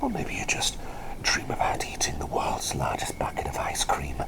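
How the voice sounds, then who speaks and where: A man playing an eccentric, excitable plague doctor in a whispered ASMR roleplay, breathy and gently doting, rambling and repeating himself with old-fashioned politeness. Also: normal speed, natural voice. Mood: whimsical delight.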